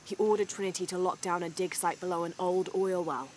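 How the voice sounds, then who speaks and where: A woman playing a young, determined archaeologist adventurer in a video game, thinking aloud in a measured, earnest way. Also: lowish voice, steady tone, a little mellow, a little hiss or hum, lightly acted, rough recording. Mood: urgent, investigating. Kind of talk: video game cutscene acting, murmured reasoning and radio reports. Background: music.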